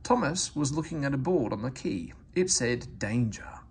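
A man's voice: mid-range voice, expressive, moderate pace, vocal fry, a little mellow, slight background noise. A man reading a children's story aloud as scripted narration. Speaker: storybook reader.

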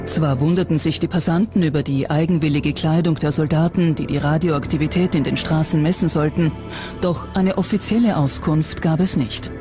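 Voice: mid-range voice, fairly even intonation, moderate pace. A documentary narrator reading a scripted narration. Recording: music behind the voice. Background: background music.

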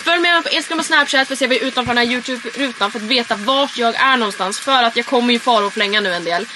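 A woman, chatting casually and informally to camera as a vlogger. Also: fairly high pitch. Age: 20s. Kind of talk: casual chatty road trip vlog. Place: in and around a camper van and car. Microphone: handheld vlog camera. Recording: clear background noise, camera audio.

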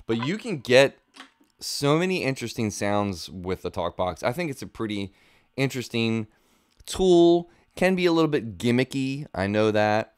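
A man's voice: mid-range voice, big pitch swings, normal speed, clear, resonant tone, dry recording. A man in his thirties giving a casual, informal guitar gear demo, thinking aloud as he explains, close on a studio mic. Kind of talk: casual instructional chat. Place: small home studio room. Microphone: close studio mic on boom arm.

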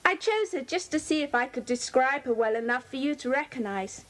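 A woman's voice: high-pitched, expressive, a little fast, a bit bright. A woman with a British accent playing a keen, observant schoolgirl in a children's audio drama, describing things precisely.

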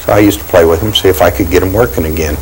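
A man's voice: low-pitched, very fast, darkish tone, a little hiss or hum, poor audio. A middle-aged man, a repair shop owner, talking casually in his small shop. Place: small radio shop indoors.

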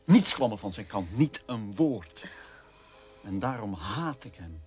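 An actor playing the bitter, idealistic son of a war criminal, speaking in an earnest, accusatory way in a radio play. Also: male voice, lowish voice, big pitch swings, unhurried, a little mellow, faint music behind. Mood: bitter, hateful.

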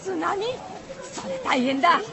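A woman's voice: extremely high pitch, big pitch swings, measured pace, creaky, a crowd in the background, full character voice. An actress playing an uneasy village woman with a rustic, exclamatory manner. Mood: alarmed.

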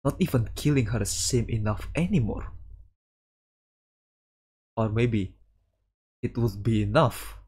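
A man reading a visual novel aloud as a streamer, with casual commentary and halting delivery. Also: medium pitch, darkish tone, husky. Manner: halting delivery, sprinkling in Japanese words. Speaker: VTuber streamer.

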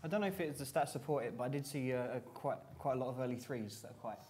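A man's voice: medium pitch, fast talker, darkish tone, a little hiss or hum. A man in his thirties with a British accent, chatting enthusiastically as a fan pundit about a basketball game in a small indoor room. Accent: British English. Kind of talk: casual post-match chat. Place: small indoor room before a sponsor backdrop.

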